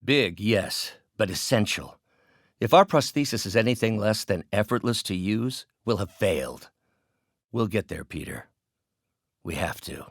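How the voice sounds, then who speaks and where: A man's voice: fairly low pitch, lively intonation, measured pace, no echo, studio quality. A man playing an older scientist turned bitter, vengeful villain in a video game, speaking in a theatrical, precise, erudite way. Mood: genial, absorbed. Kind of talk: video game voice acting, dramatic isolated lines.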